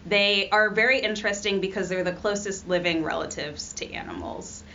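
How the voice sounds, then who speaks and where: A woman's voice: mid-range voice, normal speed, bright, forceful tone. Young woman postdoc explaining her research, lots of ums, kinda dull sound w faint hiss. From Rwanda. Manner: explanatory, with frequent ums and uhs. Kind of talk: conversational science interview. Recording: slightly dull audio with faint noise.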